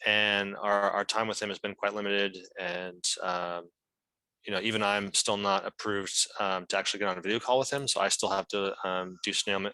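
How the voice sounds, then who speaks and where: A man's voice: low-pitched, pushed and bright, no room sound, low-quality recording. A man giving a careful, hesitant informal explanation at a meeting, often restarting his phrases. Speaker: legal team member speaking at a meeting.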